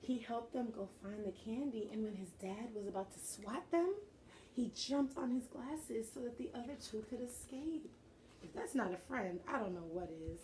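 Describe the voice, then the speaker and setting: A woman teacher doing an animated storybook read-aloud, encouraging and pausing with questions for young listeners. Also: on the high side, a little hiss or hum, rough recording. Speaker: teacher reading a picture book aloud to children.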